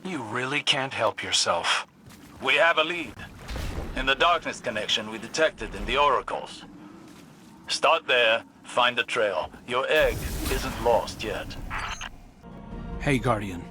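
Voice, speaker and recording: A man's voice: mid-range voice, expressive, slow, pauses often, pushed and bright, a little hiss or hum, full character voice. An actor playing a loyal young male ally in a sci-fi video game, guiding a warrior through missions in an earnest, wry, conversational way. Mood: firm, reassuring. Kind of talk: video game mission dialogue over comms. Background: game music.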